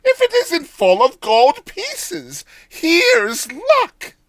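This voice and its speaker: Guy doing a high swoopy old village granny, cheery and easily thrilled, folksy exclaiming, fairy tale style. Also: wildly swooping pitch, extremely slow, vocal fry, a bit bright, full character voice. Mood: amazed, elated. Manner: exclaiming, folksy rustic speech. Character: cheery poor old countrywoman, giddy and ever optimistic.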